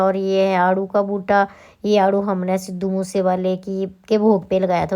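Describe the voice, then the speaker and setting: A woman's voice: mid-range voice, creaky, a little mellow. A woman who runs a salon, talking casually through her day with a slightly sore throat, chatty and rambling. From Himachal Pradesh, India. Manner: chatty, rambling, self-correcting. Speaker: salon owner and vlogger. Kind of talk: casual vlog narration.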